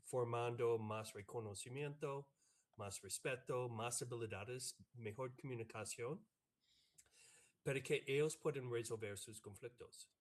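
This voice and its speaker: American professor, non-native speaker, slow and unhurried, hesitant delivery, video call mic. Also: male voice, mid-range voice. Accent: American English. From United States. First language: English. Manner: halting, many fillers and restarts. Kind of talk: academic panel answer. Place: online video meeting. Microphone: computer mic on a video call.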